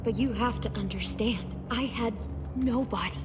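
An actress playing a hardened teenage girl survivor in a video game, terse and guarded, often hushed. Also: a little high, normal speed, background noise, close to an everyday voice. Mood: pleading. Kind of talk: naturalistic video game acting. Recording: background music under the voice.

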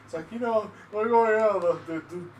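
A man's voice: high-pitched, a little fast. A young man co-hosting a game let's play, joking and rambling in casual chat. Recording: roomy echo, off-mic, faint hiss.

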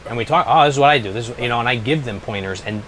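A man in his thirties giving a casual workshop explanation as a business owner, haltingly and repeating his phrases. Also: mid-range voice, a bit bright, a little hiss or hum, rough recording. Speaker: helmet restoration business owner. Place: small workshop, indoors.

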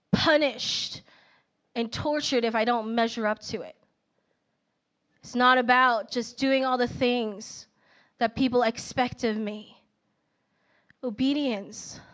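A woman in her thirties preaches in a chatty, animated way, full of personal stories and filler words. Her voice sits a little high. She speaks into a handheld microphone on a church auditorium stage. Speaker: speaker at a young adults' service. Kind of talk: conversational sermon.

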